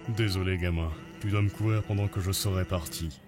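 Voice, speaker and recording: A very deep, casual cartoon skeleton voice, acted by a man. He is laconic and weary yet protective, with music running behind the words. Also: fairly even intonation, brisk, close to an everyday voice. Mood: resigned. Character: laid-back, weary, protective male skeleton. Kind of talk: animated series fan dub acting.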